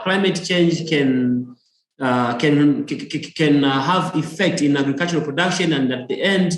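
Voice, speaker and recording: A man's voice: a little high, roomy sound, low-quality recording. A man from Tanzania speaking up in an online panel, hesitant, with many fillers and repeated words. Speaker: audience participant. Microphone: video call.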